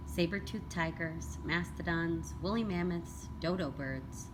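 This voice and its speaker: Lower-voiced woman reading a storybook, noisy recording. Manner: expressive, deliberate storytelling. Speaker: elementary school read-aloud teacher. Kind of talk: children's picture book narration. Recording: clearly noisy background.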